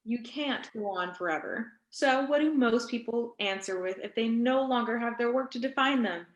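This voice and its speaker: A woman playing an insistent doctor who questions a talk-show host in a staged play reading, in pointed, deliberate phrases. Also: on the high side, lo-fi sound, natural voice. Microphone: video call microphone. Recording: echoey room on video call.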